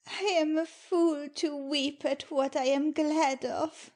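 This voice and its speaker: A woman's voice: sits high, fairly even intonation, a little mellow. A woman playing an innocent, modest, lovestruck young woman in a dramatic Shakespeare audiobook, speaking verse earnestly. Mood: lovestruck, devoted. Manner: slow, earnest delivery of verse.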